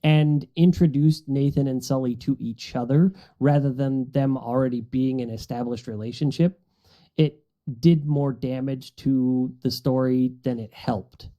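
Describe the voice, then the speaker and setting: A man with a very soft, dark and mellow voice chats casually on a podcast panel. His pitch sits in a middle range, and the recording is muffled and dull. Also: fairly even intonation, measured pace. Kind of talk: casual film discussion among friends.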